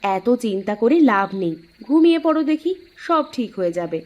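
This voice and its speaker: A woman's voice: mid-range voice, big pitch swings, moderate pace, lightly acted. A woman voicing a concerned, practical village wife in a cartoon, coaxing and chiding, on a dull recording. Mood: chiding, anxious. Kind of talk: cartoon dialogue.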